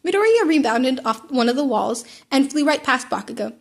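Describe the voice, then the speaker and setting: Woman reading fanfic out loud, amateur audiobook, bit high voice, trips on words sometimes. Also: lively intonation, fairly quick. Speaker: narrator.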